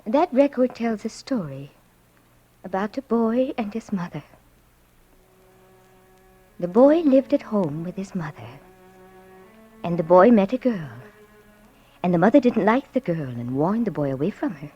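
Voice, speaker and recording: An actress playing a frail, doting elderly aunt in an old radio thriller, sweet, eerie and plaintive, slipping into breathy whispers. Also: female voice, medium pitch, sing-song, a little slow, pauses often, mellow. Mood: wistful, eerie. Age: older. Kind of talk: 1940s-50s radio thriller acting. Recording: muffled vintage radio recording, music behind.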